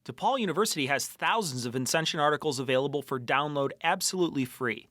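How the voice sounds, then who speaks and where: A man's voice: on the high side, pushed and bright, dry recording. A man working as an announcer, reading a scripted promotional message.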